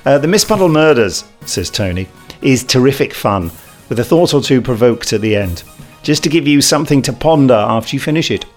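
A man's voice: medium pitch, expressive, brisk, soft background music. A man hosting a podcast, chatting casually and reading out reviews in a jokey, stumbling way. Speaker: podcast host.

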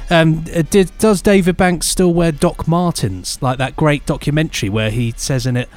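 A man's voice: on the high side, moderate pace, darkish tone, faint music behind. A man co-hosting a podcast, joking around in casual chat and reading listener emails aloud. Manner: playful, rambling, giggly. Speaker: podcast co-host.

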